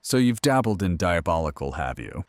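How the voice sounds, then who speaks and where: Suave male horror-radio host played by an actor, deep register, dry, theatrical and sardonic. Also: big pitch swings, moderate pace. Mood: teasing, intrigued. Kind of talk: late-night horror radio drama.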